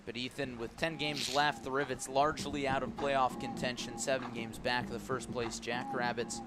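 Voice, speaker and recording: A man calling a baseball game live on radio as the play-by-play announcer, describing each pitch in detail. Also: normal speed, over loud music. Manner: descriptive, excited calls rising on big plays. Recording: clear background noise at ballpark. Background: ballpark ambience.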